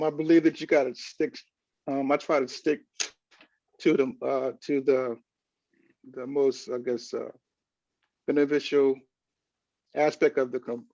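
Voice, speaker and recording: A man speaking on a panel discussion in a halting way, with many filler words. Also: mid-range voice, a little mellow, no echo, dull audio. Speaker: panelist, academic. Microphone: video call.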